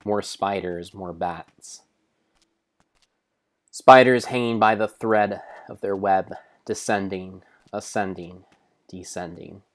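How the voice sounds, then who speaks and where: Deep-voiced man, solemn dramatic narration. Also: steady tone. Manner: theatrical, solemn delivery with deliberate pauses. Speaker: live streamer narrating a game. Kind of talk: mock-dramatic storybook narration of a video game.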